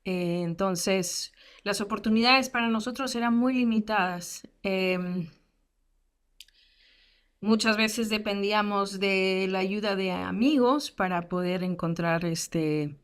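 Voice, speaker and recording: A woman's voice: mid-range voice, slow pace, clear, resonant tone. A woman in her thirties, raised in the United States, telling her life story as a guest speaker in a candid, self-deprecating way with many fillers. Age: 30s. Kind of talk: personal testimony in a lecture.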